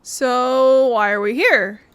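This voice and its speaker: High-voiced woman rambling over gameplay. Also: slow pace, a bit bright, smooth, no echo. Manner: rambling, playful asides. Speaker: streamer playing a video game. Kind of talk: casual gameplay commentary. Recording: game music behind voice.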